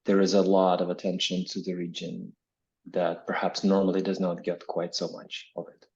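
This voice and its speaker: Low-voiced man from Kazakhstan, reflective. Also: monotone, normal speed, darkish tone, highs cut off. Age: 40s. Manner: reflective, explanatory, often tags phrases with "right?". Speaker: interviewed academic guest. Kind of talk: conversational interview answers with personal recollection.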